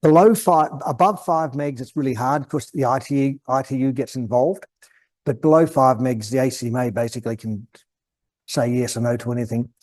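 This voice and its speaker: An Australian man giving an informal technical presentation, hesitant and halting as he thinks aloud. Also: medium pitch, darkish tone. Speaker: guest presenter at an amateur radio club.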